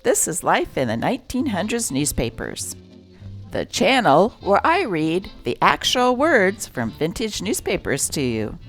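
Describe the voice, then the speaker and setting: A woman's voice: lowish voice, wildly swooping pitch, measured pace, fairly bright tone, soft background music. A woman reading a script aloud as host and narrator, with a theatrical delivery.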